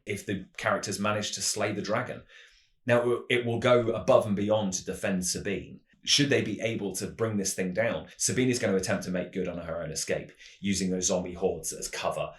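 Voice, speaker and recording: Male tabletop game presenter, low-pitched, measured explanatory storytelling, roomy echoing sound. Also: steady tone. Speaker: tabletop roleplaying game channel host. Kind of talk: semi-scripted explanatory narration.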